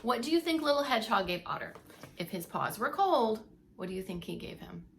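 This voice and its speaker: A woman's voice: medium pitch, sing-song, brisk, vocal fry, slight background noise. A woman reading a story aloud like a preschool teacher, with dramatic emphasis and asides to young listeners. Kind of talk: expressive read-aloud storytelling for young children.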